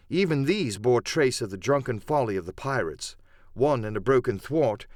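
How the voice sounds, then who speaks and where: Male audiobook narrator for adventure fiction: dramatic, very expressive intonation, mid pitch, dry recording. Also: dry recording.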